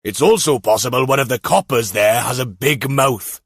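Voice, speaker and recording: A man's voice: mid-range voice, moderate pace, breathy edge, no echo, clean studio sound. A man voicing a helpful, persistent male police officer with a practical, conversational manner in an audiobook. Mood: speculating.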